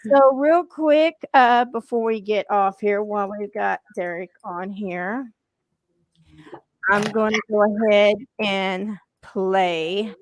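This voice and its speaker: A woman's voice: medium pitch, fairly even intonation, takes it slowly, no echo, poor audio. A woman hosting a casual live-stream interview with a band, chatty and gushing, laughing often and trailing off mid-sentence. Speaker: podcast host interviewing a band.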